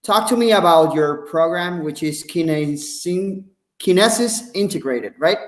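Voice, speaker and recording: A male interviewer speaks with a Puerto Rican accent, as a native Spanish speaker. His hosting is casual and chatty. The sound is clearly echoey, like a bare room. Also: fairly high pitch, expressive, unhurried. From Puerto Rico. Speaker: interview host.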